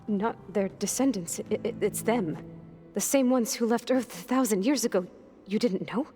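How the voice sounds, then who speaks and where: An actress playing an anxious young woman made on a ship, speaking in a halting, clinical way in a game cutscene. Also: mid-range voice, dark tone, soft background music, natural voice. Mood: guarded. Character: anxious young woman clone, fearful, skittish. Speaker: game character. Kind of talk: video game cutscene acting.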